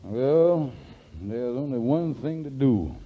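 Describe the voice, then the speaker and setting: An actor playing a scheming, flattering small-time hustler in a 1940s radio comedy. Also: male voice, medium pitch, takes it slowly, slight background noise. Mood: baffled, scheming. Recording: muffled 1940s radio recording.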